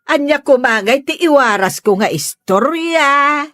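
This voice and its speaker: A woman's voice: high-pitched, animated, measured pace, a bit bright, dry recording, studio quality. A woman playing a gossipy, nosy neighbour who grumbles in a catty drawl in a comedy radio drama. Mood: indignant.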